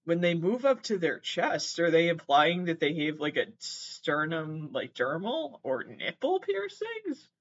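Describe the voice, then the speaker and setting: A man giving casual comedic commentary as he reads messages aloud, halting and sweary, with exasperated asides. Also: fairly high pitch, sing-song, dull audio.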